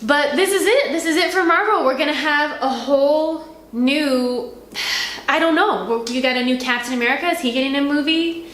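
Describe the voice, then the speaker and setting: A woman's voice: high-pitched, normal speed, fairly bright tone. Echoey, enthusiastic woman reviewing casually. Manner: enthusiastic, gushing fan talk. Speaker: video review host. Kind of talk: casual conversational movie review. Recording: roomy echo with background noise.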